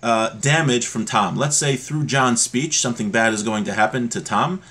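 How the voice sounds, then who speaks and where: A man in his thirties teaches religious law informally, explaining texts in a halting, repetitive way, recorded on a computer mic in a small room at home. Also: mid-range voice, a bit bright. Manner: halting, explanatory, repeats points. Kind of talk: informal text study, reading Hebrew passages and explaining them.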